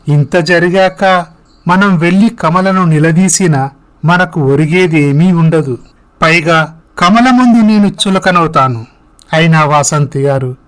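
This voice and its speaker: A man's voice: high voice, fairly bright tone. A man voicing an earnest, sorrowful young man in a dramatized moral story. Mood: dejected, resigned. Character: heartbroken young man confiding in his parents.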